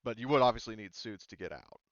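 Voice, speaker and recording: Male game master, low clear voice, casual and hesitant, thinking aloud, dull dry recording. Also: quick pace, no echo. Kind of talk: casual tabletop game planning discussion.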